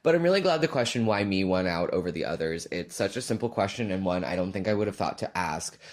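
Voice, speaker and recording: Male podcast listener, slightly low register, informal recorded voice submission. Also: lively intonation, quick pace. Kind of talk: casual recorded voice message.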